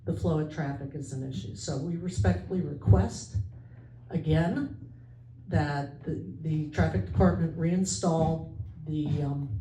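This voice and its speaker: A woman's voice: low-pitched, mellow, a little hiss or hum. A woman representing her neighborhood association, making a formal request at a public meeting with earnest words and hesitant fillers. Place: meeting hall. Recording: echoey hall from far off.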